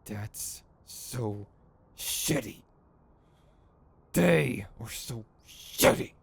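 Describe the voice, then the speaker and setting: A man's voice: medium pitch, expressive, drawn-out words, no room sound, heavily acted. A man playing an exasperated but principled, protective villain in an audio roleplay, with a sardonic, emphatic, conversational delivery. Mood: indignant, protective. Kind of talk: ASMR roleplay acting. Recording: clear background noise.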